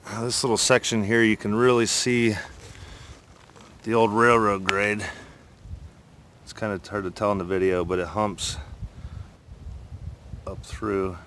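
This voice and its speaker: A man's voice: lowish voice, flat delivery, a little slow, long pauses, background noise. A middle-aged man casually narrating a hike in the woods, talking in an easygoing, rambling way, recorded on a handheld phone outdoors. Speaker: hiking vlogger. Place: outdoors in a forest.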